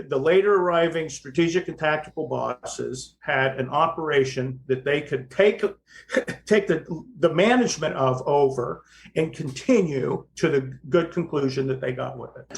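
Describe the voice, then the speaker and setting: A man's voice: on the high side, a little hiss or hum. An American man co-hosting a talk, giving casual expert commentary in an emphatic, plain-spoken and wry way. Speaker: podcast co-host and firefighting instructor.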